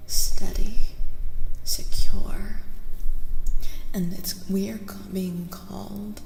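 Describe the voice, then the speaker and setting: A woman speaking softly and soothingly as an ASMR streamer, breathy and often dropping to a whisper. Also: mid-range voice, echoey room, long reverb tail, noisy background. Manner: gentle, slow, soothing. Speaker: streamer hosting ASMR tarot readings. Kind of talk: soft-spoken ASMR live stream chat.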